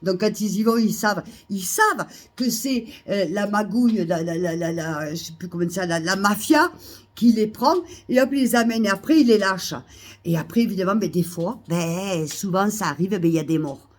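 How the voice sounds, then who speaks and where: A woman with a cold, coughing now and then, ranting indignantly to her followers, repeating herself and rising to loud outbursts. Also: mid-range voice, moderate pace. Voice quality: hesitant restarts and fillers. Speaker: vlogger. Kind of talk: ranting monologue to camera. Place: Almeria, Spain.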